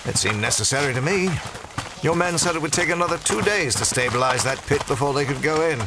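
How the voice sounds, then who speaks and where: An actor playing a cocky, flippant adventurer-archaeologist in an audio drama, with a breezy, teasing, self-assured way of talking. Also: male voice, on the high side, animated, fast talker, noisy background. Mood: wry. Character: cocky, flippant male adventurer-archaeologist, wry skeptic of legends. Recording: loud cinematic music behind the voice.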